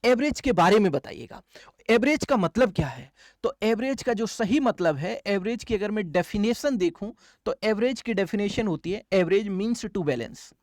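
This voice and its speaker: A man's voice: high voice, expressive, normal speed, dry recording, studio quality. A man teaching maths for exam coaching, explaining step by step, repeating key phrases and answering his own questions. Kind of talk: classroom lecture, step-by-step explaining.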